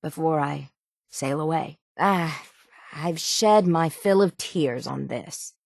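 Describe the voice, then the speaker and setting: A woman's voice: deep voice, takes it slowly, vocal fry, a little mellow, no room sound, studio quality, heavily acted. An actress playing a stoic, dying island sailor woman in a video game, plainspoken and folksy, weary but stubborn. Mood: guarded, firm. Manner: plainspoken, folksy rural islander speech, resigned yet stubborn. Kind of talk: dramatic video game dialogue.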